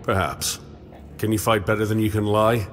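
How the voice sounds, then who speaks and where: A man playing a gruff, battle-hardened soldier in a video game, with a terse, dry, sarcastic delivery. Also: fairly low pitch, flat delivery, normal speed, fairly bright tone, soft background music, natural voice. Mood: suspicious, dry. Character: gruff, cynical, battle-hardened male soldier, lone survivor. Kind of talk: video game character acting.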